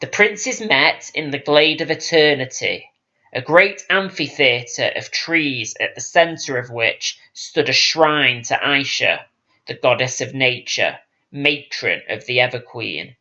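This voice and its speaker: A man reading a fantasy audiobook as scripted dramatic narration, in a solemn, measured storytelling manner. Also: mid-range voice, pushed and bright, highs cut off. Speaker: audiobook reader.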